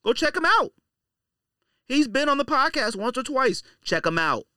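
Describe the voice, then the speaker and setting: A man's voice: very high-pitched, sing-song, moderate pace, sharp tone, smooth, no room sound, studio quality. A man hosting a podcast in a casual, opinionated monologue, blunt and slangy, repeating phrases for emphasis. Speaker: podcast host.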